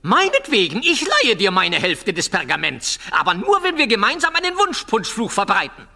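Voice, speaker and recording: A man's voice: high voice, wildly swooping pitch, moderate pace, vocal fry, sharp tone, exaggerated voice. A man playing a sly, grumpy, greedy evil sorcerer nephew in a children's fantasy audio drama, theatrical and sneering. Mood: mock pity. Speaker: voice actor. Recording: long hall-like reverb.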